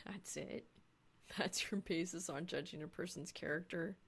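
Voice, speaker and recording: A woman's voice: on the low side, moderate pace, creaky, slightly rough. A woman voicing an awkward, sardonic young man gamer in an audiobook, dry and self-deprecating. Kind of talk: audiobook character dialogue.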